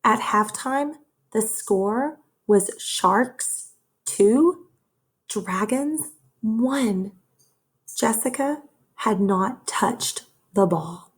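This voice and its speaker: Slow-paced, husky woman reading to children. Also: a little high. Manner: measured, expressive storytelling for young listeners. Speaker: teacher reading a story aloud. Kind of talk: children's read-aloud narration.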